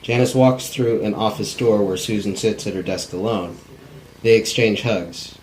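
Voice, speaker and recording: Male narrator for a table read, low plain voice, scripted, faint noise behind. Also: fairly even intonation, moderate pace, darkish tone, poor audio. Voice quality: non-actor's plain reading. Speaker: narrator of stage directions.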